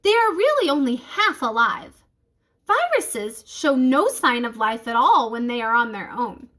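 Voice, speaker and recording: A woman's voice: fairly high pitch, big pitch swings, a little slow, pushed and bright, heavily acted. A woman reading the part of an enthusiastic, reassuring female science teacher in a children's storybook, explaining things patiently.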